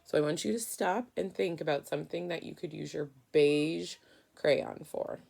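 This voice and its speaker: A woman's voice: low-pitched, normal speed, vocal fry, darkish tone. A woman teaching through a storybook read-aloud, guiding children and prompting them with questions.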